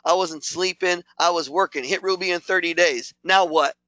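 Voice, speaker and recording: Man, high bright voice, punchy and repetitive, drilling a sales team, dry close sound. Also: fairly even intonation, moderate pace, dry recording. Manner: punchy, repetitive, hyped coaching. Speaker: network marketing team leader. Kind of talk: motivational training talk.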